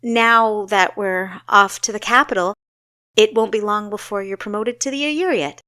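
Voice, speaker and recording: Carefree young sister, voiced by a woman narrator, higher pitch, eager, exclamatory, impulsive, fantasy audiobook, dry sound. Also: moderate pace, sharp tone, no room sound, lightly acted. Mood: proud, excited.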